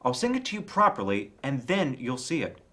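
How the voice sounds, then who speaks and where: A kindly storybook bear, read by a man with a lively, swinging intonation. He is simple and earnest, musing aloud with confidence and a slightly muddled manner. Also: mid-range voice, brisk.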